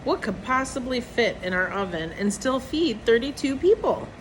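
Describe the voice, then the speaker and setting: A woman's voice: on the high side, lively intonation, moderate pace, voice pushed up, lightly acted. A woman voicing a jovial, practical storybook husband who eagerly reassures everyone, with noise behind. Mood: wondering aloud. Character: jovial, practical family man hosting a dinner.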